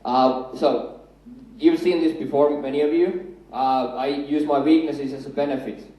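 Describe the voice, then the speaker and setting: A man's voice: medium pitch, fairly even intonation, a little slow, mellow. Finnish man talking in an echoey hall. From Finland. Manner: chatty, self-deprecating, builds up to punchlines. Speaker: conference speaker. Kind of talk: conference talk with jokes. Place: large hall on a stage. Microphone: handheld mic. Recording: echoey hall, far from the mic.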